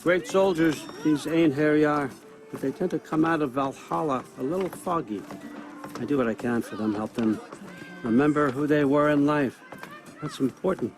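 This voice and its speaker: A man's voice: on the high side, normal speed, lightly acted, rough recording. An actor playing a sly, powerful older god-king in a video game, offhand and commanding. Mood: dry, casual command. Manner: offhand, wry, talking like a busy boss. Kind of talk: naturalistic video game cutscene acting. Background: background music.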